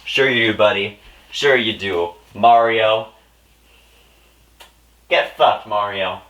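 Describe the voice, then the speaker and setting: A man playing a stern, blustering agent making an arrest, gruff and dismissive, in a cartoon skit. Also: on the low side, takes it slowly, a bit bright, far from the mic, voice pushed down.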